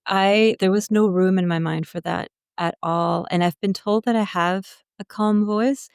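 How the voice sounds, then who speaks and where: A woman's voice: mid-range voice, steady tone, measured pace, vocal fry, a little mellow, clear, resonant tone, no echo, clean studio sound. A woman telling stories casually as an interview guest, candid and chatty.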